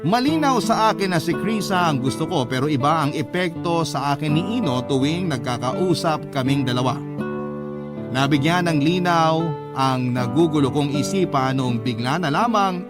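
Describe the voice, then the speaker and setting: A man narrating a listener's love-story letter for a radio drama in a steady, scripted storytelling read. His voice sits slightly high, and loud plucked-guitar music plays underneath. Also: normal speed.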